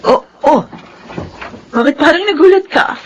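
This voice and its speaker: Vengeful filipina stepdaughter, radio drama, high swoopy voice, sly and taunting, bit of noise. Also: female voice, lively intonation, a little slow. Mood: sly, seductive. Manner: taunting, theatrical.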